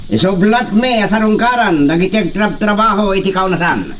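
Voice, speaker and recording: A man's voice: high-pitched, animated, brisk, fairly bright tone, recorded from a distance, a little hiss or hum. A man playing a ruthless, commanding armed man giving orders in a melodramatic radio drama. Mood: threatening.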